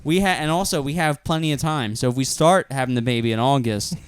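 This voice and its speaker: A man's voice: medium pitch, fast, smooth, no echo, clean studio sound. A man in his late twenties co-hosting a comedy podcast, laughing and protesting good-naturedly as he is teased. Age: 20s. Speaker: podcast co-host. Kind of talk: casual comedic banter.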